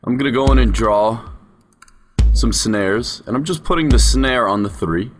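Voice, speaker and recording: A man's voice: mid-range voice, normal speed. A man giving an explanatory voice-over tutorial as a music production instructor.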